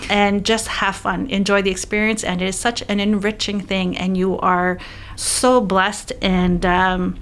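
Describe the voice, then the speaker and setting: A woman's voice: medium pitch, flat delivery, unhurried, a bit bright, clean tone. Female guest, conversational, encouraging storyteller. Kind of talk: casual interview chat.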